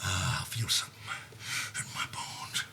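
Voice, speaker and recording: A man playing an aging king who is fading, with a husky voice, chanting in soulful rhymes and bursting into shouts. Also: high-pitched, very animated, moderate pace, slight background noise, pitched above the performer's own voice, full character voice. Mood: lamenting.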